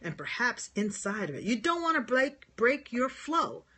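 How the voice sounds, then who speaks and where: A husky, slightly breathy voice with a New York area accent, talking into a phone on a live stream. The speaker is a spiritual healer who wanders gently from thought to thought, answering viewers' comments. Laughter comes easily between points. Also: medium pitch, very animated, normal speed. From New York and New Jersey. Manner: meandering, gentle, laughs often. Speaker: spiritual healer and Reiki teacher.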